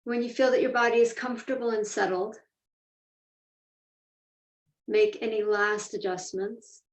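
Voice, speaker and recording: A woman teaching a guided meditation, speaking in a measured, deliberate way with pauses between phrases. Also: a little high, fairly even intonation. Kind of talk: guided meditation, slow instruction. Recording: echoey room, distant mic, dull sound.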